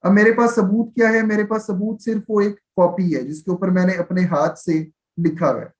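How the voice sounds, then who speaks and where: A man's voice: sits high, steady tone. A man with a Pakistani accent lecturing on law in a live class, explaining step by step, switching between two languages and often adding short check-in tags. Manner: explanatory, with frequent check-in tags and slow, measured reading of English passages; switches between Urdu and English. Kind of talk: live exam-prep lecture, explaining and reading out statutes.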